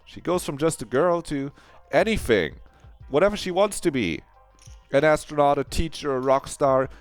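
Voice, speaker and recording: A man's voice: on the high side, lively intonation, moderate pace, dry recording, soft background music, voice pushed up, close to an everyday voice. A man voicing an anxious, eager teenage girl who rambles and stammers nervously. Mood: excited.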